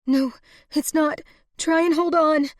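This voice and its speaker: A woman's voice: high-pitched, steady tone, slow, dry recording, clean studio sound, pitched above the performer's own voice. A woman voicing an anguished, devoted woman in love in an audiobook, pleading with raw emotion. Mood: desperate, pleading.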